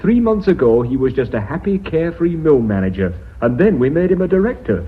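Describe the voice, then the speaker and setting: Bitter boardroom director: agitated, sarcastic, wildly varied pitch, radio play. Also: male voice, big pitch swings, brisk. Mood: bitter mockery. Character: excitable, bitter, sardonic male board member. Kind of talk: radio drama acting. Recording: dull, muffled radio recording.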